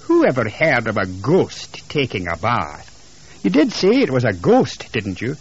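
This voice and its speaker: A man's voice: medium pitch, huge pitch swings, measured pace, slightly rough, muffled recording, full character voice. A man voicing a skeptical, dismissive wife and mother with a condescending, sighing manner in a narrated story. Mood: patronizing disbelief. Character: skeptical, patient, dismissive middle-class English wife and mother.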